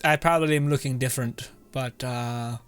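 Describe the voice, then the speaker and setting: A man gives casual live commentary on a video game in a medium-pitched voice. He is wry and informal, drifting into rambling asides. Faint music sits behind him. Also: steady tone, normal speed, clear, resonant tone. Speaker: game streamer.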